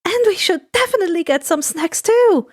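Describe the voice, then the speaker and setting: Storybook mother played by female reader: high, warm-hearted, in charge, slightly devious. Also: animated, moderate pace. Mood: caring, motherly. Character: caring, bossy, devious middle-aged mother.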